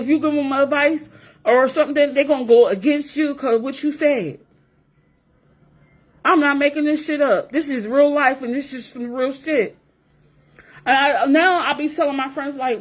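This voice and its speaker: A woman's voice: sits high, normal speed. A woman in her forties talking straight to her viewers in a blunt, profane rant, repeating herself for emphasis. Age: 40s. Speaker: vlogger. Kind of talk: casual rant to camera.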